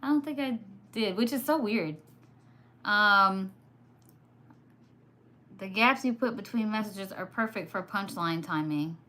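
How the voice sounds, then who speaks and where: A woman from Sudan whose first language was Arabic, hosting a casual live stream, chatty and quick to laugh while reading comments. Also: medium pitch, pushed and bright. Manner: chatty, laughs often, teasing. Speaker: livestream host.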